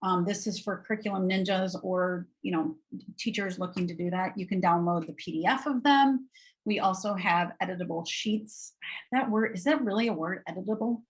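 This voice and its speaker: A woman's voice: medium pitch, moderate pace, poor audio. A woman with a nasal Wisconsin accent giving an upbeat, informal webinar walkthrough of educational resources in a conversational way.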